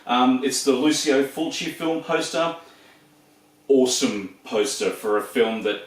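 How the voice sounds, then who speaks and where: A man's voice: mid-range voice, expressive, a little slow. A man in his forties with an Australian accent, chatting casually about his collection with lots of ums and restarts. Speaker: video host. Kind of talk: casual show-and-tell. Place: room full of shelves and posters. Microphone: camera across the room. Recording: echoey room, distant mic.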